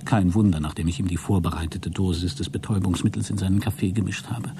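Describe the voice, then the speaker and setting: An actor playing a prudish, wounded and coldly scheming space traveller, speaking a formal, wordy log in a radio drama. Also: male voice, deep voice, steady tone, fast talker, background noise. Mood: cold, resolved. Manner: formal, self-righteous, wordy. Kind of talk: radio drama monologue, spoken log entry.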